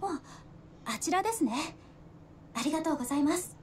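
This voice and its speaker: An earnest, well-mannered young woman for a Japanese visual novel. Her voice is extremely high and brisk, with formal, courteous phrasing. It comes through as game audio with some noise. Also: expressive. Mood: polite. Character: polite, earnest young woman visitor to a village. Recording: game audio in a noisy livestream.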